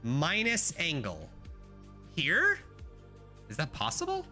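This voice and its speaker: A young man live-streaming while he codes, talking loosely to his chat and swearing freely. His voice is bright and lively, jumping up high when he gets excited. Faint music plays underneath. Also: slow pace.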